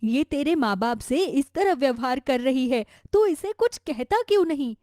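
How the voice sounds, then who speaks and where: Woman acting old mother-in-law, gentle, protesting, cartoon story, high female pitch, dry recording. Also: expressive, normal speed, no room sound. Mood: hurt, protesting.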